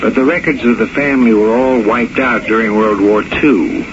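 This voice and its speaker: An actor playing a wry, worldly embassy intelligence man in a 1970s radio drama, talking in a dry, measured way. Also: mid-range voice, lightly acted. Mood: grave, confiding. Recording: muffled, noisy 1970s radio recording.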